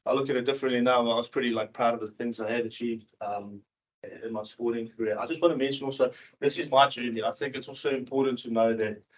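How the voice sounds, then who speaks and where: A man from South Africa who moved to New Zealand in his teens, giving a candid, informal personal talk to an audience in a halting, repetitive way. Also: medium pitch, steady tone. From South Africa, moved to New Zealand in his early teens. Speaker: seminar speaker, cricket coach and former professional cricketer. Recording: dull, muffled seminar recording.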